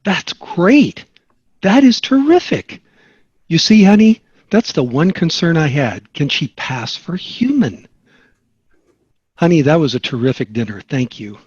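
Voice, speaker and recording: A man's voice: fairly high pitch, sing-song, measured pace, darkish tone, slightly rough, dry recording, full character voice. A man playing a genial, upbeat sitcom dad in a table read, chipper and reassuring. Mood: upbeat, pleased. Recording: slightly dull, lo-fi online call.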